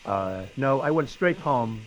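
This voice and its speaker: A man playing an evasive suspect who gives halting, hesitant denials in an acted interrogation recording. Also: medium pitch, animated, lightly acted. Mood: evasive, uneasy. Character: evasive male suspect answering police questions.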